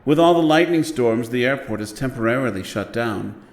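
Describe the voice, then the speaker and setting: An actor playing the formal, courteous host of a haunted mansion in a horror audio drama. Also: male voice, medium pitch, expressive, moderate pace, natural voice. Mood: gracious. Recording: roomy echo, faint noise.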